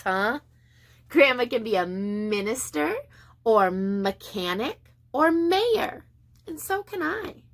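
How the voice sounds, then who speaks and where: A woman preschool teacher reading a picture book aloud to young children in an expressive, sing-song way with deliberate pauses. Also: on the high side.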